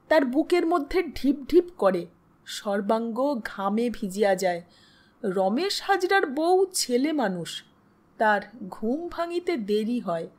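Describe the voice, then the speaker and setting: High-voiced woman narrating a story. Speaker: host and narrator of audio stories. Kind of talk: dramatic literary story narration.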